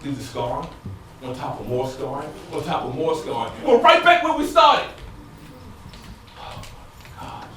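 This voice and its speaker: An actor playing an anguished, frustrated husband in an emotional theatrical stage monologue that moves between quiet confiding and loud outbursts. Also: male voice, a little high, wildly swooping pitch, normal speed, long pauses, exaggerated voice. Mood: exasperated, pleading. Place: stage in a large indoor room. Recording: echoey stage, distant mic, noisy.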